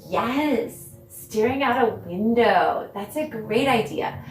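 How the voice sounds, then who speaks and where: Woman, children's dance teacher, higher-pitched voice, encouraging, distant echoey recording. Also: takes it slowly, soft background music. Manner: encouraging, with praise and questions to pupils. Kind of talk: children's video lesson, speaking directly to pupils. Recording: roomy echo, distant mic, background noise.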